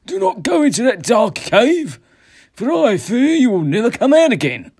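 A man voicing loyal, fearful Viking warriors with loud, urgent pleading in a storybook tale. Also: very high-pitched, big pitch swings, normal speed, vocal fry, breathy edge, voice pushed up, full character voice. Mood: alarmed.

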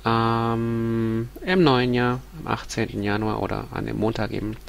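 A man's voice: medium pitch, unhurried, vocal fry. A man in his thirties with a stuffy nose from a cold, giving a recorded university lecture over slides, hesitant with many ums and restarts. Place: small room at home.

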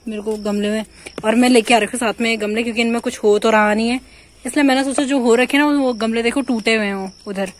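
A woman's voice: on the high side, steady tone, fast talker. Chatty young woman vlogging on a phone. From India. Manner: chatty, narrates what she is doing to the camera. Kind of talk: casual vlog chat. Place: outdoors at home and in a field. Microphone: phone camera.

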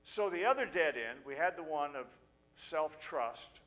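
A man's voice: on the high side, lively intonation, a bit bright. A middle-aged man pastor giving an earnest, conversational sermon and reading scripture at a pulpit mic in a small church. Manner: earnest, conversational, with halting restarts. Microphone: pulpit stand mic.

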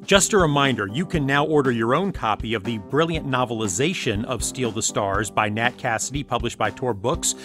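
A man's voice: medium pitch, moderate pace, few pauses, fairly bright tone. A man reading a scripted promotional announcement. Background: music.

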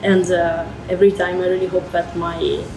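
A young woman from Italy with an Italian accent, a winemaker answering interview questions thoughtfully, pausing often. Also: on the low side, level tone, music in the background. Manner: thoughtful, many pauses with uh. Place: indoor room by a window. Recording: echoey room.

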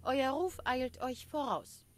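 A woman voicing a proud dryad in a video game, speaking in measured, solemn, formal phrases. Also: sits high, expressive. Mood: cool, knowing. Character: grave female dryad, proud guardian of the forest. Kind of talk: scripted game dialogue.

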